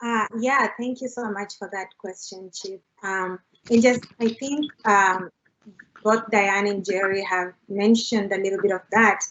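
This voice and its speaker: A woman's voice: mid-range voice, fairly even intonation, a little slow, a bit bright. Woman, fillers, muffled call audio. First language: Swahili. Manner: many fillers, leans on "you know, like". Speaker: international student panelist. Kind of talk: panel discussion answer.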